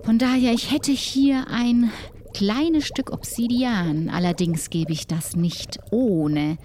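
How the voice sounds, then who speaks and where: Woman playing a slow, mysterious ghost warden. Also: a little high, warm tone, a little hiss or hum. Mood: bargaining. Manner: measured, deliberate, formal old-fashioned wording. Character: solitary mysterious hut-dwelling woman guarding pirate ghosts. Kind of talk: improvised tabletop roleplay.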